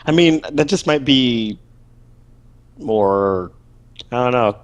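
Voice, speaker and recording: A man's voice: mid-range voice, animated, slow. A man co-hosting a casual podcast, tossing in joking, mock-dramatic remarks. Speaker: podcast co-host. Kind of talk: casual banter.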